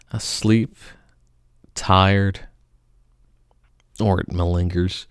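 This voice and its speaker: A man giving a theatrical, melodramatic poetry reading, swinging between loud outbursts and hushed murmurs. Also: medium pitch, clear, resonant tone, no room sound, clean studio sound. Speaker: poetry reader.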